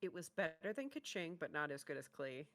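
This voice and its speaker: Blunt, expressive woman co-host. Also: sits low, brisk, dry recording. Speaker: reaction show co-host. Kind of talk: casual chat reacting to game trailers.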